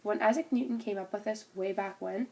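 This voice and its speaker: A young woman speaks with a stuffed-up nose from a cold, her voice sitting at an ordinary middle pitch. She explains a physics idea in a loose, informal way, with fillers and restarts, like a student's homework recording. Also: a little fast, darkish tone. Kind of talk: informal spoken class presentation.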